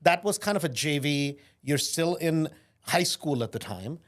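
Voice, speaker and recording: A man hosting an interview podcast, asking questions in a blunt, lively way and often checking with "right?". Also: a little high, a little slow. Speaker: podcast host. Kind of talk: conversational interview.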